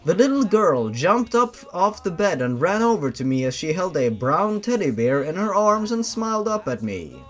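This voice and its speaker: A man's voice: high-pitched, very animated, normal speed, few pauses, fairly bright tone. A sardonic man reading horror fan fiction as scripted narration, with mocking asides. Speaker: story reader and host.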